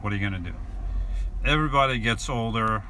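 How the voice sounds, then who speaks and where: A man's voice: on the low side, quick pace, pushed and bright. A man in his forties with a New York accent, chatting easygoing on a live stream from his van and answering viewer questions with many fillers. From New York and New Jersey. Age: 40s. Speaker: vlogger. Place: inside a van. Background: inverter hum.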